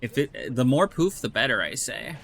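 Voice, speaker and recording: A man's voice: medium pitch, big pitch swings, moderate pace, creaky, a bit bright, a little hiss or hum. A man streaming a video game with friends, chatting casually and rambling with lots of restarts and jokes. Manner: rambling, joking, with many restarts. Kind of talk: casual gaming chat.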